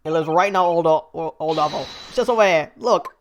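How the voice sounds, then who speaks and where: A woman's voice: deep voice, normal speed, muffled recording, slight background noise, natural voice. Woman voicing a blustering, pompous male police inspector. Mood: brusque. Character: gruff, self-important male British police inspector. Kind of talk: reading video game dialogue in character.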